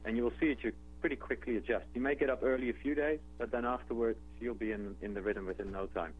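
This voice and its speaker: Man, Dutch accent, phone-line sound, faint hum, relaxed guest, fillers and restarts. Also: mid-range voice, fast. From Netherlands. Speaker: guest, travel company executive. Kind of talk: phone interview on radio. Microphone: telephone.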